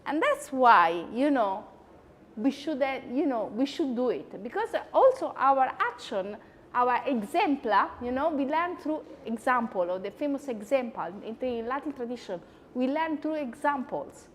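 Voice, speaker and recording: Animated Italian woman, high voice, roomy. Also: unhurried. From Italy. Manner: chatty, animated, frequent asides. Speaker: host. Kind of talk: lecture-style talk.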